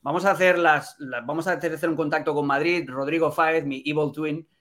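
Catalan-raised football presenter; higher male voice, animated and opinionated, fillers. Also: brisk. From Catalonia, Spain. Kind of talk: live podcast chat.

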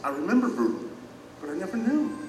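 Casting: vain ex-mayor on trial, flustered and pleading, highish animated voice, audio-drama style, echoing hall. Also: male voice, fairly quick. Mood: defensive. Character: anxious, self-important former mayor facing judgment. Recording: echoing hall, distant, music behind.

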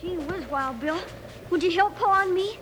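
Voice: very high voice, normal speed, a bit bright. A performer playing an earnest ten-year-old American ranch boy in a radio western, eager and plaintive. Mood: pleading. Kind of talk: 1950s radio western acting. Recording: noisy old-time radio recording.

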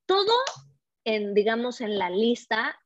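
A woman's voice: a little high, big pitch swings, measured pace, a little hiss or hum. Mexican woman, dull lo-fi recording. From Mexico City, Mexico. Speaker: podcast guest. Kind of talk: casual personal storytelling. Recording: dull lo-fi microphone sound.

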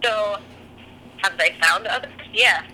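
A chatty woman speaking as a podcast guest, her voice very bright and forward. She talks openly and laughs easily. Faint noise hums behind her. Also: mid-range voice, takes it slowly, poor audio. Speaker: guest, author and singer. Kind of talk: podcast interview conversation.

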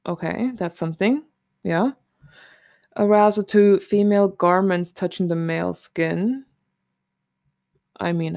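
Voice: medium pitch, gravelly, darkish tone, dry recording, clean studio sound. A host chatting casually and candidly alone, rambling informally with some swearing. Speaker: solo podcast host. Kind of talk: casual rambling chat, commenting on a list.

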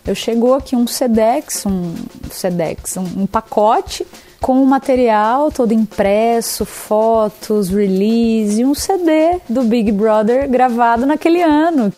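Lively Brazilian woman telling her story. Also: a little high, slow pace, soft background music. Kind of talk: casual storytelling.

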